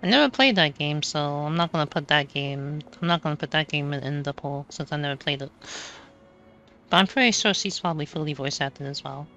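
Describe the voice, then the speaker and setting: A woman doing casual gameplay commentary, rambling and halting as she repeats words. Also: low-pitched, lively intonation, a bit bright, muffled recording, faint music behind. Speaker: video game streamer.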